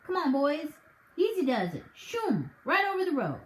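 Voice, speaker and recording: A woman's voice: high voice, wildly swooping pitch, moderate pace, echoey room, a little hiss or hum, voice pushed up. A woman reading aloud, voicing a stern but sly village police sergeant with rustic grammar and mock respect. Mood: coaxing.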